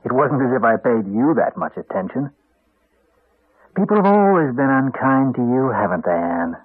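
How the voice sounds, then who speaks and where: An actor playing a cold, contemptuous husband with a Canadian accent, speaking in a deliberate, cutting, condescending way in a radio drama. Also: male voice, mid-range voice, very animated, husky, no echo. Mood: cruel, mocking. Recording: dull, band-limited 1980s radio recording.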